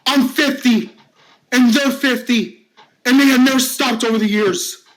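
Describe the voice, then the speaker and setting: A man playing a bullied man in his fifties, shouting high and cracking into sobs, in an amateur drama. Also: fairly even intonation, unhurried, low-quality recording. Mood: sobbing. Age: 50s. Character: bullied fifty-year-old man, desperate and tearful.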